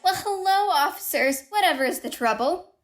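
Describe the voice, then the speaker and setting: A woman's voice: extremely high pitch, lively intonation, normal speed. A woman playing an unhinged, guilty murderer who narrates with false politeness and growing paranoia in a horror radio play. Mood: falsely polite, nervous. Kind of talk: dramatic first-person horror monologue in radio play. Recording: echoey room with long reverb.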